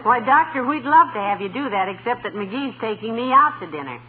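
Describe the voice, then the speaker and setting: An actress playing a patient, gently teasing wife in a 1940s radio comedy. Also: female voice, on the high side, animated, brisk, close to an everyday voice. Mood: friendly. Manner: placating, dryly teasing. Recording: very noisy, tinny 1940s radio recording.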